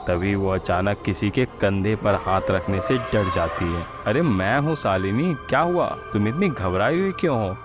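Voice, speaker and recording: A man narrating a scripted horror story as its storyteller. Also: deep voice. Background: music.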